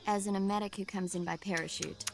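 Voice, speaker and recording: A woman's voice: mid-range voice, steady tone, creaky, music in the background, natural voice. A woman playing a friendly, playful young military medic in scripted video game dialogue. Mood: friendly, teasing. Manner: brisk, playful.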